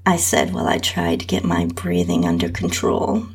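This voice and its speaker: A woman's voice: very deep voice, big pitch swings, normal speed, slightly rough. A woman host narrating an intimate first-person erotic story.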